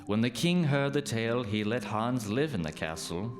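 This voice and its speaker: A man's voice: mid-range voice, lively intonation, normal speed, noisy background. A man narrating a scripted folk tale as the storyteller in a radio play.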